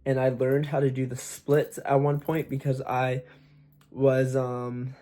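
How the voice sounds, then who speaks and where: A man's voice: medium pitch, monotone, measured pace, mellow. Chatty young man with a raised voice. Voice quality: raised, slightly forced speaking voice. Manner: chatty, candid, swears freely, many fillers. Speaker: aspiring singer vlogger. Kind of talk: casual talk to camera.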